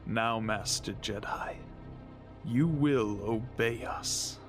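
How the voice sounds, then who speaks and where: A man's voice: medium pitch, takes it slowly, soft background music, natural voice. A man playing a cold, fanatical alien mystic captor who speaks in stilted, formal pronouncements in an audio drama. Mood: cold menace.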